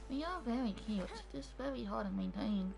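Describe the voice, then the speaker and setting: A woman's voice: medium pitch, normal speed, gravelly, over music, rough recording. A woman streamer chatting casually while she plays a video game. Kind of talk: casual gameplay chat.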